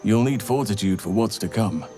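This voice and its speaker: Male actor as elf druid warrior, lowish voice, grave, measured, earnest counsel, video game dialogue, music underneath. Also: animated, mellow, natural voice. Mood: grave, warning.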